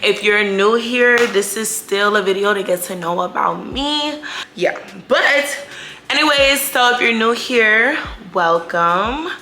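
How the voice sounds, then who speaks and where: A woman's voice: fairly high pitch, slow, sharp tone, a little hiss or hum. A woman in her twenties talking casually in a question-and-answer vlog, slangy, chatty and candid, stretching words for emphasis. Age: 20s. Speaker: YouTube vlogger.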